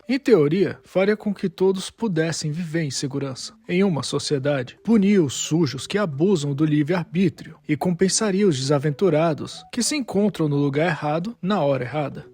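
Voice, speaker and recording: A man's voice: a little high, darkish tone, slightly rough. A man narrating a scripted dramatic story as its storyteller.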